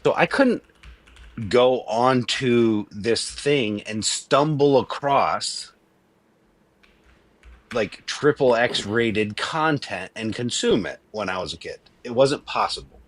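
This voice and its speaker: A man's voice: medium pitch, animated, slow pace, no echo. A man in his late thirties, from Venezuela, talking earnestly and emphatically in a casual debate, often restarting his sentences. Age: 30s.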